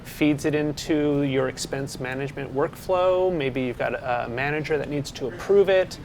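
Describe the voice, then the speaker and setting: A man co-presenting a developer show, chatting in a relaxed way about software partners. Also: on the high side, moderate pace, a little hiss or hum. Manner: relaxed, chatty with filler words. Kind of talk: casual chat. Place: studio set.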